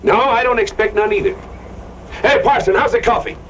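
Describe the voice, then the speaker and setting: An actor playing a cocky, taunting American hired gunslinger with a sly, mock-innocent drawl in a Western radio drama. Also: male voice, high-pitched, brisk, fairly bright tone, low-quality recording. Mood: sly, amused. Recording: faint noise, old radio drama recording.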